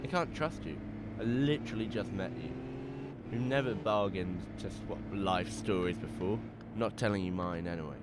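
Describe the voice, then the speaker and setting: A man acting a deep-voiced, bitter drifter. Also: moderate pace, lightly acted. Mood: irritated, guarded. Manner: brooding, profane monologue. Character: bitter, guarded male drifter fleeing his burnt-down life. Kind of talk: noir-style radio drama monologue and dialogue. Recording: noisy background of car and road. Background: car driving ambience.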